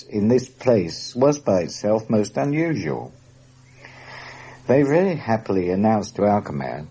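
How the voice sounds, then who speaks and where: A man with a husky voice giving a precise, formally worded first-person account as a former prisoner of war in a documentary. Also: fairly low pitch, dark tone, poor audio.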